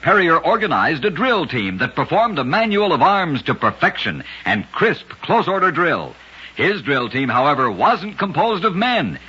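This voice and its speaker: A man with a husky voice giving dramatic scripted narration for an old radio show. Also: on the high side, pushed and bright. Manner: dramatic storytelling delivery. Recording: dull lo-fi old radio recording.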